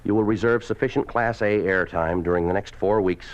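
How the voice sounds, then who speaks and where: An American actor playing a cold, domineering Communist official in a 1950s radio drama, curt and commanding. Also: male voice, fairly low pitch, brisk, lightly acted. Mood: brisk, commanding. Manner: curt, commanding, clipped orders. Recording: dull, lo-fi 1950s radio recording.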